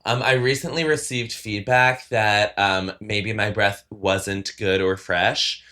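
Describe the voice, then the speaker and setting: A man's voice: lowish voice, steady tone, takes it slowly, pushed and bright. A man co-hosting a podcast in casual chat, talking in a campy, playfully dramatic way. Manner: campy, playfully dramatic, calls listener "honey". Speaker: podcast co-host.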